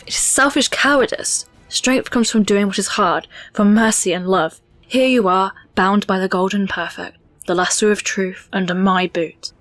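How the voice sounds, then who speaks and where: Lady playing noble amazon warrior princess, firm n solemn, medium pitch, audio drama w soft music under it. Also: dry recording, close to an everyday voice.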